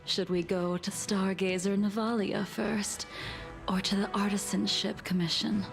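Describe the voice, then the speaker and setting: A woman voicing a serene, world-weary ancient swordswoman in a video game, soft and breathy, with a measured, formal, wistful manner. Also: mid-range voice, level tone, music in the background. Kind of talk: video game character acting.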